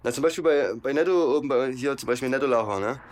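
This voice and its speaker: A man's voice: medium pitch, fast talker. A man explaining things casually and colloquially in an interview. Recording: faint vehicle and ambient noise.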